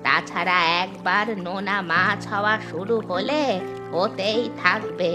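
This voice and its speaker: A woman's voice: medium pitch, fairly even intonation, slow pace, natural voice. A woman voicing a scheming village wife in a cartoon, bossy and impatient with her husband. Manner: impatient, scolding. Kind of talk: dubbed cartoon dialogue. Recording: music in the background.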